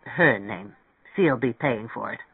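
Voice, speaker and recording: A narrator voicing a practical, reserved older man in an audiobook, calm, measured and matter-of-fact. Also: mid-range voice, creaky, breathy edge, no echo, voice pushed down, heavily acted. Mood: muttering, guarded. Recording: thin, phone-like band-limited audio.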